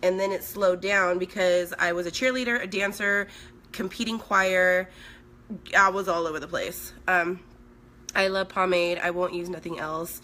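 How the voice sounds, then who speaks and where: A woman in her thirties from Sweden talks casually into a phone held at arm's length in a small room at home, a makeup artist chatting with her viewers. Her voice is bright but hoarse, as if it is still coming back. A faint hiss sits under the recording. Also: lowish voice, steady tone, normal speed. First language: Swedish. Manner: chatty, reads viewer comments aloud while working. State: hoarse, voice recovering. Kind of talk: live makeup stream chat.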